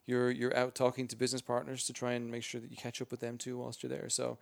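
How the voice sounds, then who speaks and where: Brief: booming male spokesperson, gravelly edge, rambly and upbeat, mid pitch. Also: quick pace. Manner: energetic, rambling, many restarts. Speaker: game franchise spokesperson. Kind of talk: casual interview answers.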